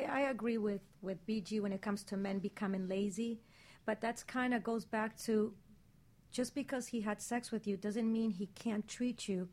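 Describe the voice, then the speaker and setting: A woman's voice: mid-range voice, darkish tone. A woman on a radio panel arguing her opinion in casual, insistent, emphatic conversation.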